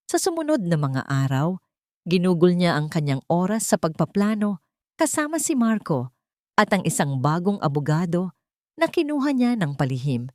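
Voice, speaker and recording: Female storyteller, lowish voice with big melodic swings, dramatic and suspenseful, recorded dry. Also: very animated, normal speed, a little mellow, no echo. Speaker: audio drama narrator. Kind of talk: dramatic scripted story narration.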